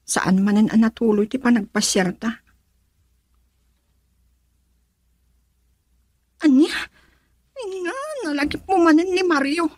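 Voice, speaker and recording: A high, very animated actress playing an irritable young woman in an Ilocano radio drama. She is whiny, jealous and emphatic, and the sound is dry and close. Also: no echo. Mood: annoyed.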